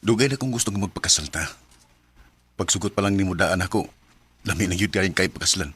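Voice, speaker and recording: An actor playing an eager, devoted young suitor in a Filipino radio drama, speaking earnestly and coaxingly with a Filipino accent. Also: male voice, low voice, fast, fairly bright tone, husky. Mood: pleading, romantic.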